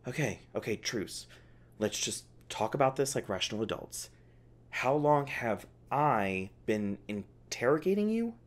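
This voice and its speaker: Animated, snarky captive scientist, acted out. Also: mid-range voice, measured pace. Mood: testy, conciliatory. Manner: sarcastic, testy, swearing, casual. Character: snarky, exasperated scientist held captive, arguing with cellmate. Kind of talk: ASMR audio roleplay, one-sided dialogue.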